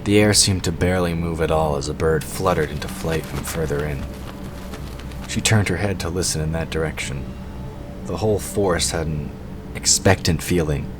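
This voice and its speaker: A man reading scripted narration for a fantasy audio drama. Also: extremely low pitch. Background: forest ambience sound effects.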